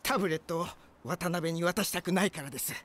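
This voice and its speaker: Anime company man, middle-aged: high-pitched, courteous, earnest, formal delivery. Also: fast, fairly bright tone. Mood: earnest explaining. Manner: formal, polite, explanatory. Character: earnest, polite male company employee in glasses. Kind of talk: anime film voice acting.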